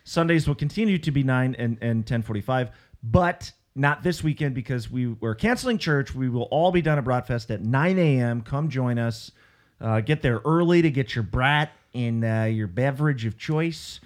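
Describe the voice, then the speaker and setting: A man's voice: medium pitch, animated, moderate pace. A man, hosting a church podcast in casual chat, joking and steering the talk.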